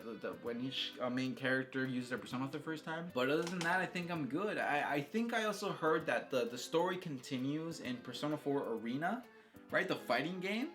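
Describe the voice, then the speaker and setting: A man's voice: fairly high pitch, lively intonation, brisk, a bit bright, faint music behind. A man giving casual, rambling video game commentary in an enthusiastic, informal, slangy way, often repeating words and circling back. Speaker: video game reviewer and host.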